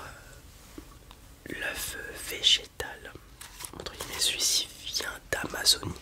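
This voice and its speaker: A man in an ASMR roleplay, whispering breathily as he casually and hesitantly explains things in the role of a breeder.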